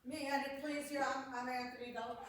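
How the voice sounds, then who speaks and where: A woman's voice: sits high, level tone, a little fast, close to an everyday voice. A woman playing a dim, earnest village constable in a Shakespearean stage comedy, hesitant and plodding. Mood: earnest, bumbling. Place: echoey room, distant mic at live stage reading. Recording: very echoey hall, distant mic, noisy.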